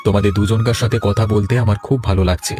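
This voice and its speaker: A man's voice: lowish voice, little pitch movement, racing, over music, close to an everyday voice. A man voicing a kindly, worried older village landlord in a cartoon, earnest and paternal. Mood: warm, agreeable. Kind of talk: Bengali fairy-tale cartoon dialogue.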